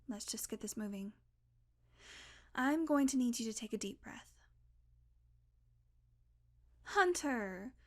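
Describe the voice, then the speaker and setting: A woman's voice: a little high, darkish tone. A woman playing a sardonic, blunt vampire who is guardedly caring and coaxing, in an intimate audio roleplay. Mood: soothing, hypnotic. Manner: sarcastic, blunt, coaxing, sighing, deliberate pauses.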